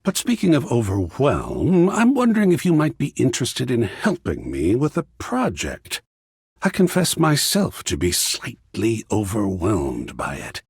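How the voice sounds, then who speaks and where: A man voicing a whimsical, effusive video game character who is art itself, raspy and gravelly, speaking in a grandiose, florid, theatrical way. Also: mid-range voice, huge pitch swings, unhurried, darkish tone, no room sound, clean studio sound, exaggerated voice. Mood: earnest, confiding. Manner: grandiose, florid, savoring words with dramatic swoops. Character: flamboyant, whimsical living embodiment of art, effusive and philosophical.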